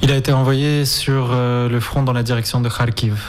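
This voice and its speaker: A man's voice: mid-range voice, level tone, moderate pace, a little hiss or hum. A man in his twenties from Spain who grew up in Ukraine, answering interview questions in a hesitant way, full of fillers and restarts. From Spain, raised in Ukraine. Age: 20s. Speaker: interview guest, documentary maker. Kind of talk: conversational interview.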